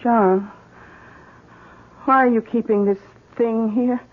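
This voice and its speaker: An actress playing an anxious, caring wife in 1970s radio drama style, earnest and hesitant. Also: female voice, a little high, steady tone, slow. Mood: worried. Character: Sarah, anxious, caring wife of a troubled artist. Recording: dull 1970s radio recording.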